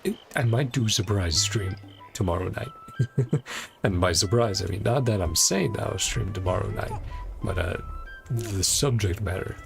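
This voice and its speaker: A man's voice: fairly low pitch, expressive, moderate pace, gravelly, over music. A man livestreaming a video game, chatting casually in rambling asides and reading dialogue aloud. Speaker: game streamer.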